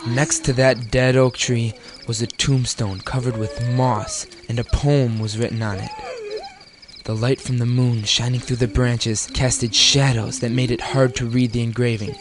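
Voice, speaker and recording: An actor playing a spooky camp counselor, telling a horror story in an ominous, theatrical manner. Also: male voice, medium pitch, noisy background, close to an everyday voice.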